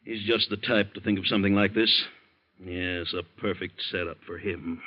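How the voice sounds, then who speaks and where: A blustering middle-aged American father and prosecutor in old-time radio. His low voice is anxious and imperious. The recording sounds dull and aged. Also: fairly even intonation, normal speed. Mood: stern, irritated. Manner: fretful, imperious.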